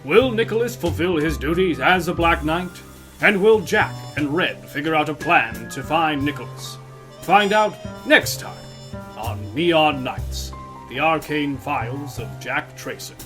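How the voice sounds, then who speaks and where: A man's voice: on the high side, lively intonation, a little slow. A man narrating an audio drama serial in a dramatic, scripted style. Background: guitar music.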